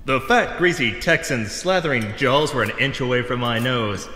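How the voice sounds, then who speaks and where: A man's voice: medium pitch, expressive, normal speed, pushed and bright, soft background music. Man playing a reverberant pulp adventure hero. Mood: grim narration. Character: stoic hard-boiled hero narrating his pulp adventure. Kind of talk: pulp radio narration. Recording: long reverberant hall sound.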